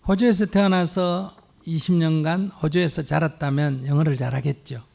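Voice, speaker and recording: A man's voice: on the high side, fairly even intonation, racing, creaky, a little mellow. A man, a Buddhist monk, patiently answering a question step by step with examples at a temple gathering. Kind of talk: dharma Q&A answer. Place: temple hall.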